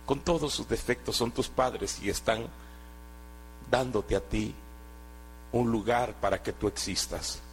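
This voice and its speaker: A man's voice: medium pitch, a little slow, pauses often, a little hiss or hum, rough recording. A man from Guatemala, giving an earnest sermon testimony and often restarting his words. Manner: earnest, exhorting, with hesitant restarts.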